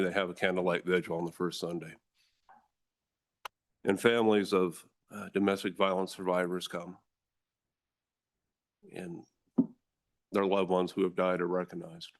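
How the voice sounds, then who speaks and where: Deep-voiced forceful American man testifying. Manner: forceful, earnest, addresses the room as ladies and gentlemen. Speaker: district attorney testifying. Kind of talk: formal testimony to a legislative committee.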